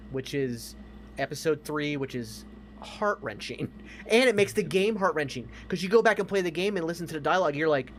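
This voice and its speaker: A man with a voice a little higher than average co-hosts a casual entertainment podcast. He is emphatic and opinionated and swears freely. Faint noise sits behind his voice. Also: fairly bright tone. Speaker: podcast co-host. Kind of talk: casual film and game discussion.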